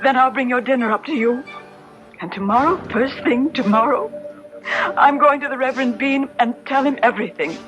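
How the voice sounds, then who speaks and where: An actress playing an anxious, indignant middle-aged wife in an old-time radio drama, speaking in a prim, emphatic way. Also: female voice, high-pitched, normal speed, natural voice. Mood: indignant fear. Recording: dull vintage radio broadcast with music.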